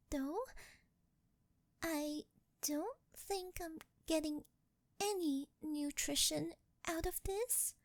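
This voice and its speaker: A young woman playing a sweet, shy, clingy angel turned succubus in an ASMR roleplay, speaking softly and breathily with a coy, halting, intimate delivery close to the mic. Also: sits high, no room sound, heavily acted. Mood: shy coaxing. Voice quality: soft, breathy, girlish. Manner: coy, halting, intimate, often dropping into whispers. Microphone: close ASMR microphone, dry sound.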